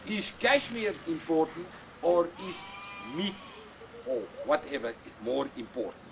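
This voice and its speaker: An older man with an Afrikaans accent, whose first language is Afrikaans, talking plainly and repetitively in halting English as a goat breeder outdoors on a farm. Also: on the high side, big pitch swings, background noise. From South Africa. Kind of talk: casual explanation on a farm.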